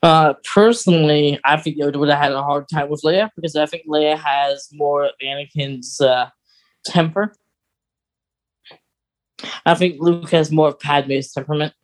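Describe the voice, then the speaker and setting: Mid-pitched casual podcast co-host. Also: steady tone, normal speed, poor audio. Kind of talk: casual chat about Star Wars lore.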